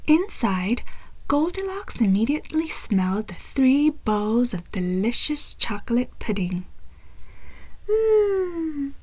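Female storyteller for picture books: unhurried, very animated, wry, deliberately emphatic. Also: fairly high pitch. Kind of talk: picture-book read-aloud narration.

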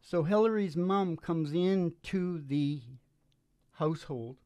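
A man's voice: fairly high pitch, takes it slowly, mellow, smooth, no room sound, studio quality. A middle-aged man chatting casually and emphatically, repeating phrases and checking in with "you know.". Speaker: host and singer-guitarist of a music podcast. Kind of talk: rambling casual chat. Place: small curtained indoor stage. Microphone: stand microphone, close. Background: acoustic guitar.

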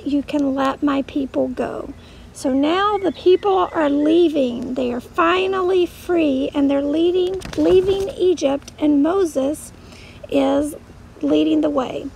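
High-voiced woman telling kids a story. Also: slow pace, gravelly, a little hiss or hum, low-quality recording. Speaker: children's Bible teacher.

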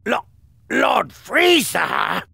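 An actor playing a brash, irritable fighter in a game, rough and straining as he talks. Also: very high voice, huge pitch swings, very slow, sharp tone, no room sound, full character voice. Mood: agitated. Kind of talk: English game dub acting.

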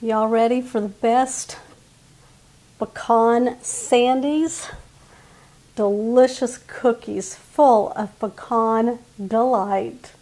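A woman's voice: on the high side, fairly even intonation, drawn-out words, warm tone. A woman, giving friendly step-by-step baking instructions in her home kitchen. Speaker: cooking channel host. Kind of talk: casual cooking demonstration.

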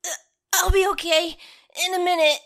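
A woman's voice: very high-pitched, fairly even intonation, moderate pace, a bit bright. A woman playing an earnest, brainy little boy fox sidekick, explaining things in a comic dub. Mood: apologetic.

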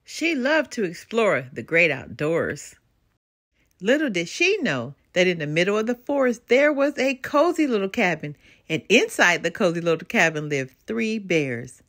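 A woman storyteller giving an expressive read-aloud of a children's book. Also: lowish voice, moderate pace.

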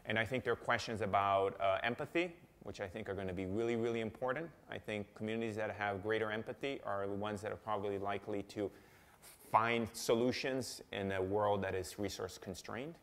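A man who grew up in Italy, with a lowish voice, answers audience questions in a conference room. He talks in a lively way and acts out quoted speech in changing voices. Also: brisk. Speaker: guest speaker.

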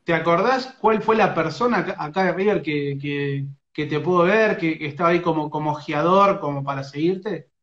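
Argentine man, rioplatense accent, somewhat high pitch, halting interviewer, muffled roomy phone sound. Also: fairly bright tone. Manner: hesitant, many fillers and restarts. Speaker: sports radio interviewer. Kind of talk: casual interview on a phone live stream. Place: indoors, small room. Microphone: phone front camera.

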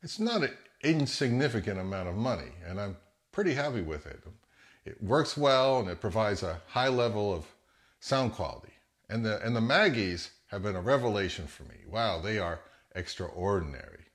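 American guy in his 50s, lowish voice that moves around a lot, casual youtube host. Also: big pitch swings, normal speed. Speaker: host of a hi-fi audio channel. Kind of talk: casual talking-head vlog.

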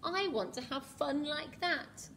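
A rich male crocodile from a picture book, eager and a little lonely, bursting out in high, pleading cries, as a woman puts him on while reading aloud. His voice is pitched up and lively, with a faint hum of the room behind. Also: slow, full character voice. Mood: wistful.

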